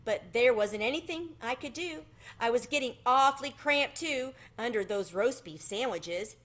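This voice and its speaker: A woman's voice: on the high side, expressive, normal speed, a bit bright. A woman voicing a polite, modest male country cricket in a children's storybook, earnestly telling his adventure. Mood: worried. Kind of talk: children's storybook read-aloud.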